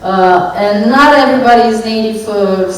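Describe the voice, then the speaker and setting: A woman's voice: a little high, normal speed, a little hiss or hum. Romanian woman panelist in an echoey hall. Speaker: conference panelist. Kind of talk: panel discussion. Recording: very echoey hall, distant mic, faint ambience.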